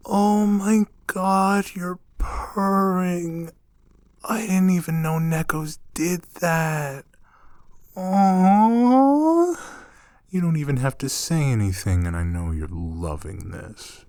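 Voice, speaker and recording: A young man acting as a gentle, teasing roommate in an intimate ASMR roleplay, speaking soothingly and coaxingly, close and soft. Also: on the high side, lively intonation, slow, no room sound. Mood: amazed delight. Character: gentle, teasing male roommate soothing a shy catgirl-like companion.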